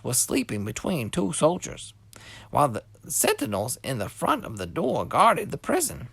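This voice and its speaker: A man's voice: high-pitched, gravelly, fairly bright tone, breathy edge, no echo. A man reading a scripture story expressively for children as a scripted narration.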